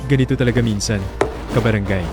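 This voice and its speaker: A man with a Filipino accent narrating a radio drama in a solemn, measured storytelling style. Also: fairly low pitch. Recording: music bed behind the voice.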